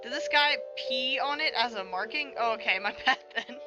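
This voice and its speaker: A sardonic, put-upon young servant boy from a visual novel, read by a woman, pitched fairly high. The delivery is irritated and sarcastic, with music clearly behind it. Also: normal speed, close to an everyday voice. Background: game music.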